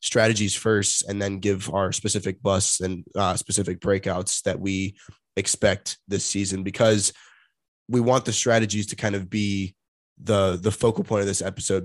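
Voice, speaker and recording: A congested man co-hosting a sports podcast, speaking in a monotonous tone. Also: low voice, dry recording. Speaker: podcast co-host. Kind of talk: casual sports podcast chat.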